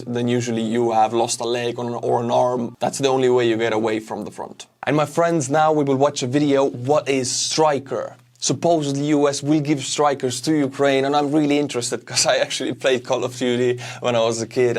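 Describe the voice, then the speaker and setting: A man's voice: mid-range voice, a little mellow. A man from Estonia gives casual, opinionated war news commentary as a show host, speaking bluntly and emphatically.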